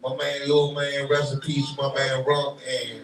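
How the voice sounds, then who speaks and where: A man's voice: mid-range voice, monotone, unhurried, fairly bright tone, echoey room, recorded from a distance, a little hiss or hum, low-quality recording. A man with a Washington, D.C. accent, arguing his point emphatically and defensively in a heated casual discussion. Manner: emphatic, defensive, streetwise slang. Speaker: guest, event host.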